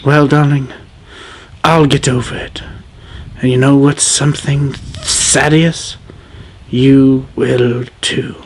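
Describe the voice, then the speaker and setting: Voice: medium pitch, slow. A narrator doing a pompous fat king. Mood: smug. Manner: calm, grand, drawling speechmaking. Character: pompous, self-assured fat king, man.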